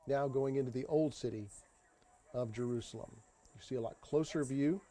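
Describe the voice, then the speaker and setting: A man giving an unscripted tour voice-over, explaining sights in a halting way and often repeating his phrases. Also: mid-range voice, warm tone. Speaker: tour narrator. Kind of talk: unscripted travel video voice-over.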